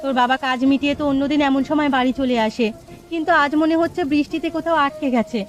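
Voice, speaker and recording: A high female voice of a village housewife and mother played by a woman. She is caring and worried, in cartoon storytelling style over quiet music. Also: steady tone, fast, lightly acted. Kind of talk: Bangla fairy tale cartoon voice acting.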